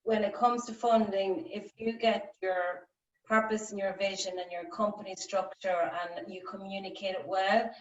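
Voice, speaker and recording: A woman's voice: mid-range voice, level tone, normal speed. A woman talks through a workshop in a chatty, rambling way, full of ums and likes. She sits back from the mic in an echoey room. The video-call sound is dull. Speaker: workshop facilitator. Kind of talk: online workshop discussion. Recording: distant, roomy, lo-fi call audio.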